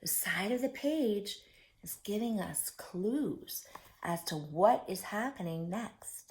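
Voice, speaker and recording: A woman's voice: medium pitch, lively intonation, slow pace. A woman reading a picture book aloud to young children as a story-time host, theatrical and often putting questions to her listeners.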